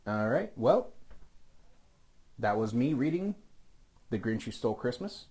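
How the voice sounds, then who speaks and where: Low-key man in casual talk. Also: sing-song, a little slow, darkish tone. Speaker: amateur voice performer signing off. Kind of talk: casual sign-off to viewers.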